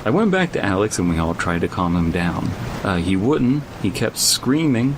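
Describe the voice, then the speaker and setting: A man's voice: lowish voice, big pitch swings, normal speed, noisy background. A man co-hosting a podcast, chatting casually and reading a story aloud with joking, profane asides. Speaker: podcast co-host. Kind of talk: casual comedic chat and story read-aloud.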